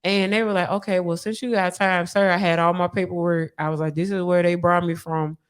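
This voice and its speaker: A woman's voice: fairly low pitch, level tone, a little fast, clean tone. Candid woman telling a slangy story. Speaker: podcast storyteller. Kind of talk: animated casual storytelling.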